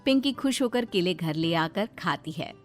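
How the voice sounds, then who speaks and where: A woman's voice: lowish voice, expressive, moderate pace, faint music behind. A woman narrating a scripted children's story as storyteller.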